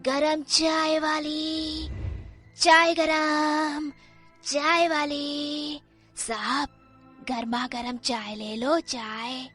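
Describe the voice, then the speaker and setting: Shrill tea-selling witch. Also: flat delivery, pushed and bright, heavily acted. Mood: wheedling. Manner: drawn-out calls and threats. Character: menacing female witch posing as tea seller. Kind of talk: cartoon horror story acting. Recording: music behind the voice.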